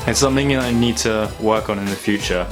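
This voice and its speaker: A young man talking casually and reflectively in a vlog, thoughtful and halting with long pauses. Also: fairly low pitch, over music. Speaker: vlogger.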